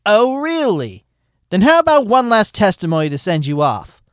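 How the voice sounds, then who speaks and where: A woman's voice: mid-range voice, big pitch swings, moderate pace, a little hiss or hum, natural voice. A woman doing a cocky, drawling male sloth. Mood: smug. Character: smug, hyper male sloth witness.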